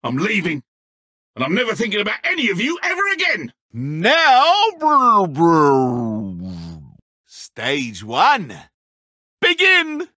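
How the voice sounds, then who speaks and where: An actor playing a petulant, theatrical godlike video game villain, grandiose and mock-friendly with a sulky streak and a raspy edge. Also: male voice, sits high, huge pitch swings, slow, pauses often, pushed and bright, no echo, studio quality, full character voice. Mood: menacing, bitter, then gleeful showman. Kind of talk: theatrical game character acting.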